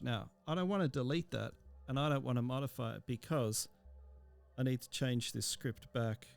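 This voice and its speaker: Low creaky guy walking thru a tutorial, kinda halting, bit of music behind. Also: a little mellow. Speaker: instructor. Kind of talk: informal software tutorial, explaining step by step.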